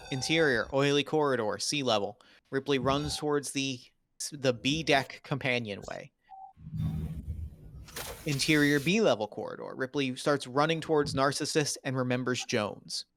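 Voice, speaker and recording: A man's voice: mid-range voice, normal speed, a bit bright, a little hiss or hum. A man narrating screenplay stage directions in dramatic, clipped phrases for a table read.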